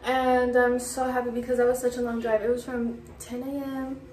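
A woman's voice: fairly high pitch, level tone, normal speed, a little mellow. A teenage young woman with an American accent chatting casually to her vlog, bubbly, slangy and exclamatory. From California, United States. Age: teens. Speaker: travel vlogger. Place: car, outdoor stops and hotel room. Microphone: phone at arm's length. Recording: noisy handheld phone, road and street ambience.

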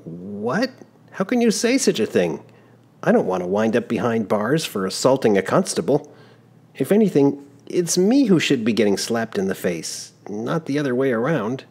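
A man reading a storybook aloud, voicing an eager, polite young male traveler who protests quickly. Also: medium pitch, very animated, darkish tone, breathy edge, voice pushed up. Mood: indignant.

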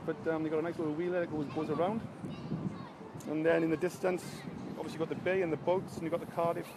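A middle-aged man with a strong Welsh Valleys accent, chatting on a live stream and greeting viewers by name. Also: sits high. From Wales. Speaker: internet marketing coach. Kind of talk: casual live stream chat. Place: outdoors by a bay. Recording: loud outdoor wind and traffic.

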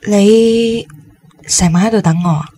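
An actress with a Hong Kong Cantonese accent playing a polite, uncertain young woman in a radio drama, tentative and halting. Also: mid-range voice, mellow, natural voice. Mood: awkward, polite. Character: hesitant, polite young woman with memory loss.

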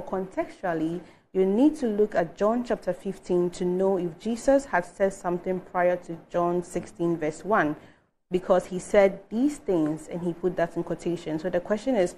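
Woman on the comments desk reading messages out, lowish voice, faint hum behind. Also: darkish tone, poor audio. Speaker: social media desk co-presenter. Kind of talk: reading viewer comments aloud.

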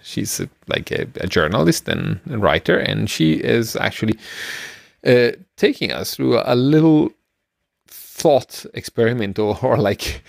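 A middle-aged man co-hosting a podcast, explaining things casually in a halting, rambling way. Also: medium pitch, lively intonation, vocal fry, no room sound, clean studio sound. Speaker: podcast co-host. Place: small room at home, on a video call. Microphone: close microphone, headphones.

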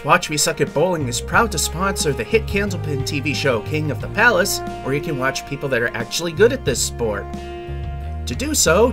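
A man with a high voice reads a wry, mock-promotional voice-over, and clear music plays underneath. He sounds like a host doing a sponsor spot for his own show. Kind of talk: voice-over segment.

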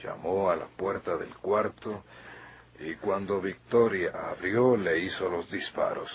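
An actor playing a grave, steady confidant with a Mexican accent in an old radio drama. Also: male voice, sits low, unhurried, a little hiss or hum. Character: grave, steady older male confidant bringing bad news. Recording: muffled old radio recording.

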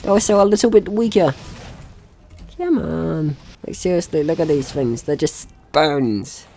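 A man's voice: a little high, measured pace, creaky, a little mellow, faint music behind. A man giving casual let's play commentary, chatty and jokey, talking to himself and the game's enemies. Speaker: gaming channel host.

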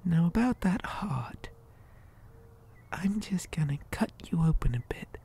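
A woman playing a possessive living doll in an ASMR horror roleplay, gently coaxing with childlike menace in a breathy, hesitant whisper. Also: on the low side, lively intonation, exaggerated voice. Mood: calmly menacing. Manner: soft, coaxing, slow and hesitant.

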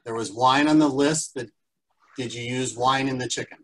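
A man's voice: medium pitch, moderate pace, fairly bright tone, a little hiss or hum, low-quality recording. A man co-hosting an online session, chatting casually and relaying questions. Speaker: co-host relaying audience questions. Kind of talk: casual chat.

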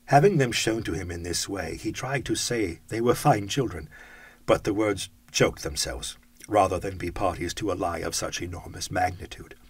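A man reads a classic ghost story aloud in expressive, rhythmic phrases. His voice is low and slightly husky. The recording is close and dry, with no room sound. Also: moderate pace, no room sound. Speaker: audiobook reader.

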